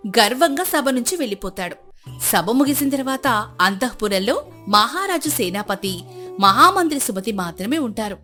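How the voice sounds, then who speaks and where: A woman storyteller narrates a scripted folk tale. Her voice sits a little high, and soft music runs behind it. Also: very animated, normal speed.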